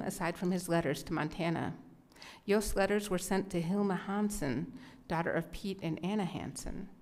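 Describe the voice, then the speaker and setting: A woman's voice: fairly low pitch, a little mellow. A middle-aged American woman hosting an event from a podium microphone in an indoor gallery. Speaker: event host and narrator. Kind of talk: reading a scripted historical introduction at a podium. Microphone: gooseneck podium microphone.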